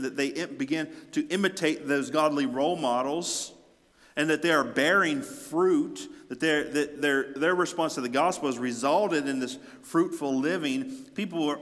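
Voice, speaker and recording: A man preaching a sermon as a pastor, speaking emphatically with repeated phrases and swelling from quiet to forceful. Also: a little high. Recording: echoey hall with long reverb.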